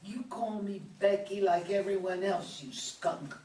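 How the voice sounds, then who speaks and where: A woman acting a sharp-tongued older farm wife in a play reading, folksy and dry, with an American accent. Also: mid-range voice, fairly even intonation, unhurried, lightly acted. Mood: tart, resentful. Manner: folksy, tart rural speech. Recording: distant mic, echoey room, faint noise.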